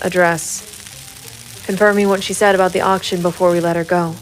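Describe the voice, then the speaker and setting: An actress playing a hard-boiled, brooding and quietly determined female private investigator in a gritty noir audio drama. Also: fairly low pitch, little pitch movement, fairly quick, soft background music, close to an everyday voice, low-quality recording. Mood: cold, resolute. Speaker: voice actor narrating and playing the lead of an audio drama. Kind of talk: gritty noir audio drama acting and first-person narration.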